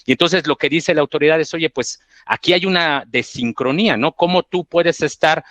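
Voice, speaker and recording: A man's voice: on the high side, moderate pace, fairly bright tone, dry recording. A man with a Mexican accent explaining technical material in a professional webinar, in a didactic way. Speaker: webinar presenter, transfer pricing specialist.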